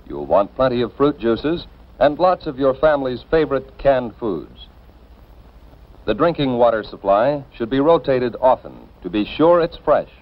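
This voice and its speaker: An American man reading scripted 1950s instructional film narration in a measured, formal, instructive manner. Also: mid-range voice. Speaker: narrator of a civil defense educational film. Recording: dull, band-limited 1950s film soundtrack.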